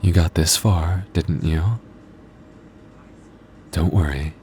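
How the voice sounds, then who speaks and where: A man's voice: low-pitched, takes it slowly, mellow, slight background noise. A man playing a cocky, moody male vampire coworker in an intimate ASMR roleplay, teasing in a dry, flirty way. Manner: smug, flirty, dry teasing with sighs and chuckles. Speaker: voice actor in audio roleplay.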